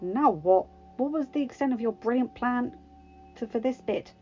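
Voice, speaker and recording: A blunt, sardonic male superhero from a fan story, read by a woman. The voice sits fairly high and leans hard on its words. The sound is a bit dull, with music underneath. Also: quick pace, a little mellow, close to an everyday voice. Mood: sarcastic. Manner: sardonic, emphatic. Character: sarcastic, blunt, hot-headed male superhero.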